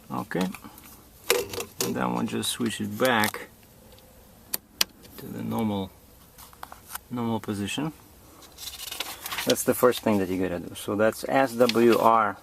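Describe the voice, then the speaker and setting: A man giving an informal hands-on tutorial in a halting, improvised way, as if thinking aloud. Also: fairly low pitch. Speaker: demonstrator giving setup instructions. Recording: clearly noisy amateur recording.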